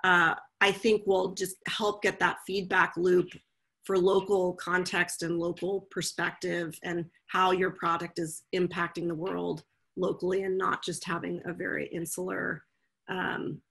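A woman's voice: fairly low pitch, flat delivery, unhurried, creaky, fairly bright tone, slightly rough. A woman guest speaking thoughtfully and casually over a video call from home. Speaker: guest, legal professional. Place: home room, indoors.

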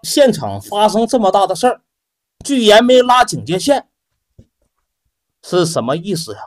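Man playing a stern young heir, demanding, swooping pitch. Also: sing-song, normal speed. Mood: insistent. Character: tough young male rich heir, stern.

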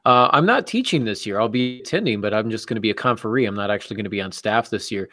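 A man in his forties hosting a casual chat show over a video call, with a wry, deadpan way of talking. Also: lowish voice, fast talker, dry recording, clean studio sound, soft background music. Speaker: show host. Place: small cluttered office, on a video call.

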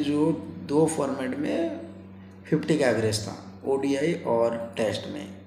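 A young man talks casually to his viewers as a sports vlogger. His mid-pitched voice has a noticeable creak. It is recorded in an echoey room with faint noise behind him. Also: dark tone. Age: 20s. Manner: colloquial, chatty, addresses viewers as brothers. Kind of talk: casual cricket commentary to camera. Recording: roomy echo, faint background noise.

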